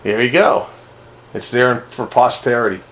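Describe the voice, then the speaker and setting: Man, medium pitch, casual radio operator chat, laid-back, noisy transmission. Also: a little slow. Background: clear radio noise.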